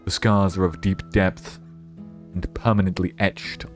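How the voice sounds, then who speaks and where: Play a battle-worn knight, a young guy hamming it up, low and weighty, every word stretched out and solemn. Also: little pitch movement, soft background music. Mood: solemn, weary. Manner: slow grandiose mock-medieval delivery. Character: weary solemn wandering knight, male, battle-scarred.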